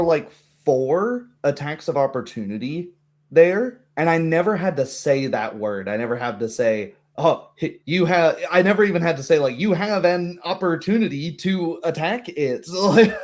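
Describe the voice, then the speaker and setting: A man talking casually as a game master about a tabletop roleplaying session, in an animated, rambling way. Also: on the high side, a little slow.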